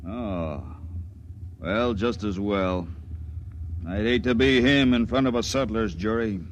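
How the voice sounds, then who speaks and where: An actor playing a brusque, contemptuous military officer in a 1950s radio drama, with a commanding delivery. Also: male voice, on the low side, takes it slowly, lo-fi sound. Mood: gruffly dismissive. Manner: barking, commanding. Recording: noisy vintage radio recording.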